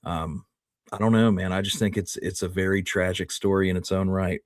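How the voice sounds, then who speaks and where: A man co-hosting a casual history discussion, speaking in a reflective, measured way and qualifying his opinions. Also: deep voice, fairly even intonation, no echo, clean studio sound. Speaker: co-host of a history talk show.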